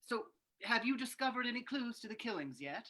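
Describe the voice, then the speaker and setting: A woman's voice: on the high side, sing-song, brisk, bright, forceful tone, exaggerated voice. A woman playing a nervous, eager townsman in a radio drama, polite and stammering, repeating words. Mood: helpful. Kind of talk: old-time radio drama acting.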